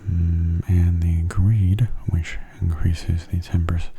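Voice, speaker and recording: A man giving soft-spoken ASMR game commentary, breathy and often near a whisper, in a gentle, explanatory way. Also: low-pitched, flat delivery, takes it slowly, slight background noise.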